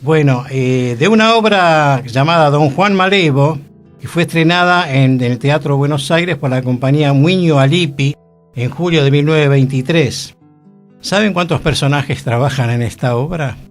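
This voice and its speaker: Higher-voiced Argentine radio columnist. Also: male voice, normal speed, soft background music. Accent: Rioplatense Spanish. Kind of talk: casual radio chat. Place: radio studio.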